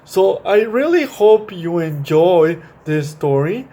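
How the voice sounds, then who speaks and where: A man hosting a children's storytime, reading and chatting to young kids in a halting, repetitive way with simple explanations. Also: high-pitched, expressive, warm tone. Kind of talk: reading a picture book and talking to young children.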